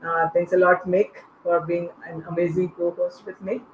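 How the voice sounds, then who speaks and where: A host moderating an online panel discussion without a script, in halting, stop-start phrases. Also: mid-range voice, little pitch movement. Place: online video call. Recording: muffled, echoey online call with noise.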